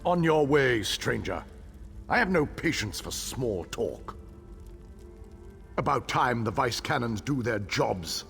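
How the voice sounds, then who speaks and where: A man's voice: mid-range voice, sing-song, slow pace, gravelly, long pauses, a bit bright, faint music behind, heavily acted. A man playing a bitter, impatient townsman in a video game, speaking with scornful, emphatic indignation. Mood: indignant, impatient. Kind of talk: video game character acting.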